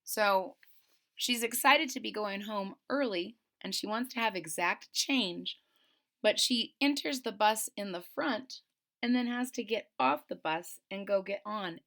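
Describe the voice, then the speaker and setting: A woman's voice: mid-range voice, bright, forceful tone. A woman reading a picture book aloud and stopping to explain it simply to children. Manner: clear, explaining asides for children. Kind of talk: storybook read-aloud with explanations.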